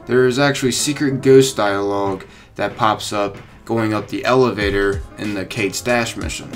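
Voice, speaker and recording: A man's voice: on the low side, steady tone, normal speed. A young man hosting a gaming video, talking to viewers in a casual, chatty, informal way. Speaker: gaming YouTuber, host. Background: light music.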